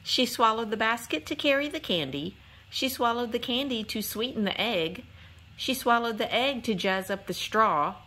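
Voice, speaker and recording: A woman reads a picture book aloud for children. Her voice is bright and clear-cut, and her pitch moves up and down in an animated way. She keeps a rhythmic, sing-song storytelling delivery. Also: moderate pace, rough recording. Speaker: read-aloud channel host.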